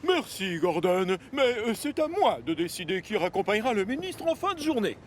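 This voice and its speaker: High, animated railway boss. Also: male voice, normal speed, heavy background noise. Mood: firm. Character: stern but fair male railway controller.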